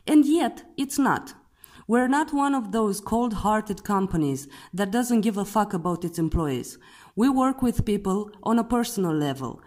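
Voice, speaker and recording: A woman with a noticeable foreign accent speaks as a company co-founder. Her delivery is blunt and exasperated, with the odd curse. Her pitch swings widely as she presses her points. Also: darkish tone. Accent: non-native English. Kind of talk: frustrated monologue to workers.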